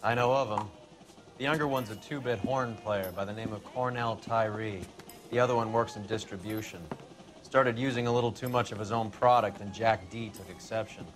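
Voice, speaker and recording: Man playing a sardonic, low-pitched American vice cop. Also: steady tone, moderate pace, lightly acted. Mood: cynical, worldly. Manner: slangy, sardonic, worldly. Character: cynical, brash 1940s vice squad detective. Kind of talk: video game dialogue. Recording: music behind the voice.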